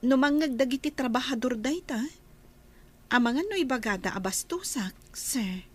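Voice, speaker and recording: A woman's voice: high-pitched, animated, normal speed. A woman playing a hesitant, demure young female employee in a Filipino radio drama. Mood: flustered, guarded.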